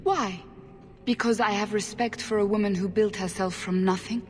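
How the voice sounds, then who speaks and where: A woman playing a stern, probing interrogator in a video game, intense and deliberate. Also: medium pitch, fairly even intonation, slight background noise, lightly acted. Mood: challenging. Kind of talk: video game cutscene acting. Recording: long hall reverb.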